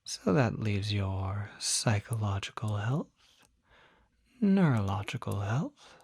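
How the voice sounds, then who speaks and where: Performer as demon doctor, ASMR roleplay, extremely slow, soft and often whispered, husky, attentive, gentle bedside manner. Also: deep voice, animated, dry recording, clean studio sound, full character voice. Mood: gently reassuring. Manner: slow, deliberate, gentle bedside questioning with pauses. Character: calm, attentive demon doctor treating a patient.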